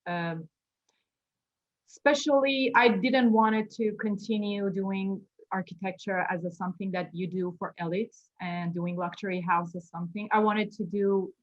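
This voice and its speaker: A woman in her thirties from Iran with a Persian accent, speaking casually and a little haltingly as an interview guest. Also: mid-range voice, lo-fi sound. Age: 30s. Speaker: architect, award-winning interview guest.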